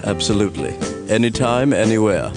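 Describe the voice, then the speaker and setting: A man's voice: lowish voice, lively intonation, a little fast, vocal fry, over music. An actor playing a pompous, wealthy cartoon man who talks big and hesitates evasively. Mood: boastful.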